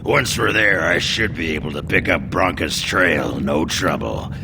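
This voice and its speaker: A man voicing a gruff, confident warrior companion in a fantasy video game. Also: low voice, unhurried, background noise. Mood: gruff, assured. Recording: game music behind the voice.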